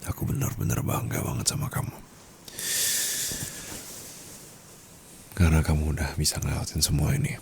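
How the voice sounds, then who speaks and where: A man's voice: steady tone, slight background noise. A man playing a dominant, teasing yet caring sugar-daddy husband in an intimate ASMR roleplay, murmuring and slipping into whispers. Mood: tender, proud. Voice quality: very deep, gravelly, husky. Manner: slow, teasing, soft murmurs dropping into whispers.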